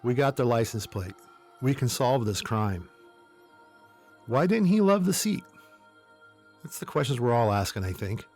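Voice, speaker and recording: A man's voice: mid-range voice, expressive, measured pace, long pauses, darkish tone, soft background music. A man hosting a podcast, telling humorous stories in a casual, wry, tongue-in-cheek way. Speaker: podcast host. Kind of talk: casual humorous storytelling commentary.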